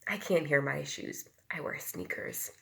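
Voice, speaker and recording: A woman's voice: low-pitched, unhurried, creaky, noisy background. A woman teacher reading a picture book aloud to young children, expressively, acting out the sound words and explaining things simply. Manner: acts out sound words, dropping to whispers and rising to loud calls; asks the young listeners questions and explains simply.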